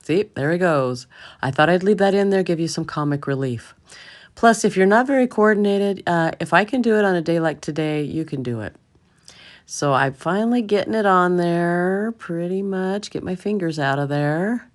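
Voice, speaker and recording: A middle-aged woman with a low, slightly hoarse voice, narrating a craft tutorial in a casual, chatty, self-deprecating way. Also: normal speed. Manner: chatty, self-deprecating, chuckles at own mishaps. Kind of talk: casual voice-over narration of a DIY craft demo.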